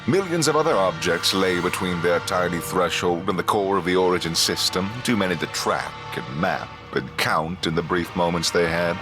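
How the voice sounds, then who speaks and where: A man's voice: low voice, normal speed, few pauses. A man narrating a sci-fi audio drama in a solemn, ominous, dramatic style over music. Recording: loud music under the voice. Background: cinematic music.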